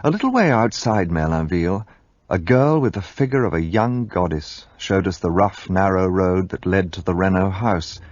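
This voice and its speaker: Deep, lively gentleman narrator; dry, dull audio. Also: moderate pace, dry recording. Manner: calm storytelling narration. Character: loyal English gentleman narrator, adult man. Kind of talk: radio drama narration.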